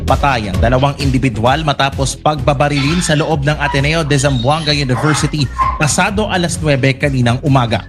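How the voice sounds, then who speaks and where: A Filipino man anchors a radio newscast. He reads from a script in a formal news style, with a loud music bed playing behind him. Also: mid-range voice, a little fast.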